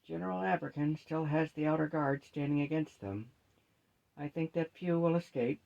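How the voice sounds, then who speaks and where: A man's voice: fairly high pitch, moderate pace, vocal fry, darkish tone, highs cut off. A man voicing a sad gnome with a subdued, gloomy manner in a storybook reading. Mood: somber.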